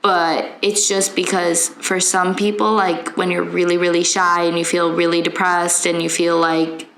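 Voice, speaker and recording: A young woman with a somewhat low voice and a gravelly, fry-heavy edge. She talks reflectively in an easy, casual way, and the recording carries a strong room echo. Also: little pitch movement, unhurried, fairly bright tone. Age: young adult. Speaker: podcast co-host. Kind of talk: casual chat. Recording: echoey room.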